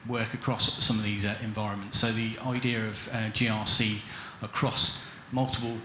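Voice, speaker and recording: A man with a lowish, bright voice talking in a flat, even line on a conference panel. He rambles modestly and fills his pauses with ums. The hall adds a clear echo. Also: slight background noise. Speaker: head of internal audit, panelist. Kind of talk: conference panel self-introduction.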